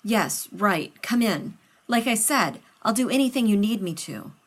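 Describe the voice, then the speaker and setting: A woman's voice: medium pitch, lively intonation, measured pace, pitched above the performer's own voice. A woman reading a story aloud, voicing a fussy, rambling male scientist who talks over others. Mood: flustered.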